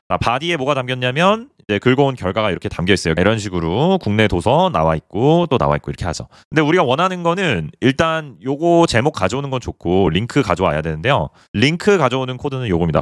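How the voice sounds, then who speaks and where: A man talks through a coding tutorial at a brisk clip, pointing at items on his screen as he goes. His voice moves up and down in a lively way. The sound is dry and close, from a small quiet room. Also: mid-range voice, dry recording. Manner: explains step by step, pointing things out on screen. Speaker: programming instructor.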